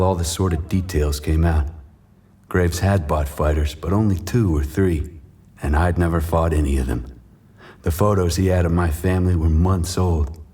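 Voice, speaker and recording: An actor playing a bitter, regretful washed-up boxer, narrating a noir audio drama in brooding, terse sentences. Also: male voice, deep voice, a little mellow, slightly rough.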